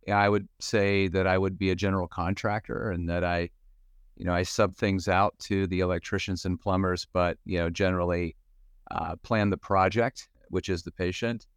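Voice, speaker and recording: A man in his fifties from Western Pennsylvania, a cardiologist explaining things in a measured way with many fillers and restarts. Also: low-pitched, fairly even intonation, smooth, dry recording, studio quality. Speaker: cardiologist guest expert. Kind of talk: podcast medical discussion.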